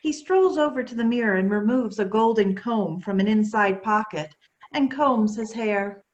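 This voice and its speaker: A woman's voice: on the high side, moderate pace, lo-fi sound. A woman narrator reading scripted stage directions for a play.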